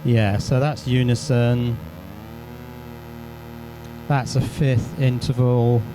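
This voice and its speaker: A man's voice: mid-range voice, flat delivery, slow pace, music in the background, low-quality recording. A man presenting an informal hands-on electronics demonstration, thinking aloud and correcting himself as he explains.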